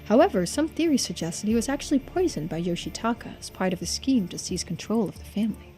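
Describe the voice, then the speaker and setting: A woman streaming a game, reading its text aloud in a measured way with muttered asides and small stumbles. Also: medium pitch, very animated, mellow, soft background music.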